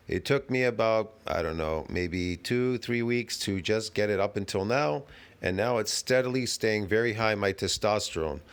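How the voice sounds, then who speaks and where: A man hosting his own channel, giving brash, rambling, dismissive commentary on another creator's video from home. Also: lowish voice. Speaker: channel host reacting to videos. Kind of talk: casual reaction commentary.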